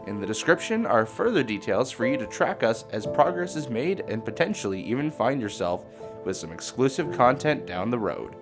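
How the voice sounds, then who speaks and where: Lively male announcer over guitar music. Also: medium pitch. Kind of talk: scripted outro announcement.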